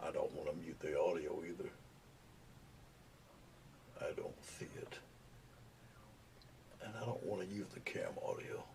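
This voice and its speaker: A soft-spoken man with a low voice that does not project, talking casually to his live viewers as he paints at home. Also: unhurried, gravelly, long pauses. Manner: soft-spoken, mumbling asides while working. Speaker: painting livestream host. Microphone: camera's built-in mic, not the lapel mic. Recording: noisy, low-volume webcam audio.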